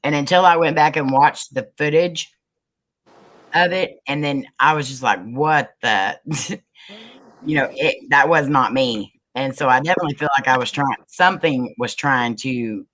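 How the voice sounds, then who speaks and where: A woman with a low voice, a paranormal investigator, telling a story on a group video call with many ums and restarts. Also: moderate pace, a bit bright, slight background noise, low-quality recording. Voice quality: low, manly-sounding voice. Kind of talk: casual group video chat.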